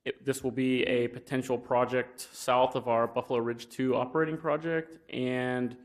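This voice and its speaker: A man's voice: medium pitch, level tone, normal speed. A young man giving an informal presentation at a county meeting, talking plainly with many fillers and restarts. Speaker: wind energy business developer. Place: county commission meeting room.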